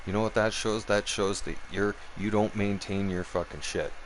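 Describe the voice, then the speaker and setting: A man with a deep, low voice holds forth on his live stream. He swears freely, repeats himself and keeps checking in with "right?" and "okay?". A faint background hiss sits under him. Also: steady tone, normal speed, poor audio. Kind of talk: angry political rant on a live stream.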